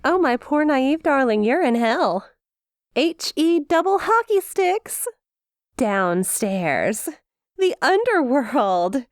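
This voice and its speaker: A woman's voice: sits high, big pitch swings, slow pace, no echo, studio quality, exaggerated voice. A woman playing a perky, smug demon imp in an ASMR roleplay, teasing with playful mock sympathy and a possessive streak. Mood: teasing, gloating. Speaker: audio roleplay performer.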